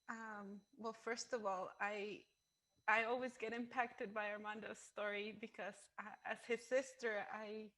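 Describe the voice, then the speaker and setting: A woman giving an earnest, personal reflection to a group, pausing as she finds her words. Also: mid-range voice, fairly bright tone. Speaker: monologue writer and panel participant.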